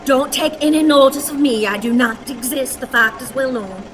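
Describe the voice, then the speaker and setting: A woman's voice: high-pitched, moderate pace, natural voice. A woman playing a stout, cantankerous, self-pitying old woman in a radio play, with theatrical, exclamatory wailing and lamenting. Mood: self-pitying, fussy. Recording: reverberant hall, audience noise.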